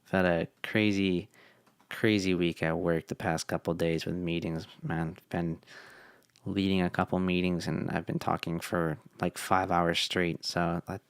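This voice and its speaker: A young man talking through a casual coding tutorial, relaxed and thinking aloud with fillers, his voice tired from long meetings. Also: sits low, a little slow, vocal fry, smooth, dry recording. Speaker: web developer.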